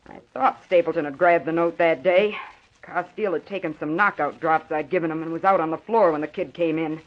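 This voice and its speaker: A woman's voice: sits low, brisk, close to an everyday voice. A woman acting a ruthless, scheming gangster boss in 1940s radio drama, hard-boiled, boastful and taunting. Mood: boastful, scornful. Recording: muffled old 1940s radio recording.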